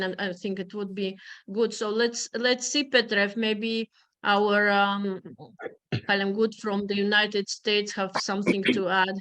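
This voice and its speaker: A woman's voice: mid-range voice, a bit bright. A woman moderating an online meeting over a video call, polite and hesitant, with many fillers.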